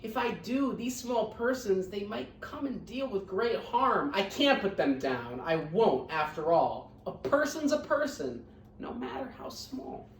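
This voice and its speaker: A gentle, protective storybook elephant, voiced by a woman, earnest and emphatic. The pitch rises and falls widely. The sound is echoey and set back from the microphone. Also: big pitch swings, unhurried, a little hiss or hum. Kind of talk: children's picture-book read-aloud. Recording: roomy echo, distant microphone.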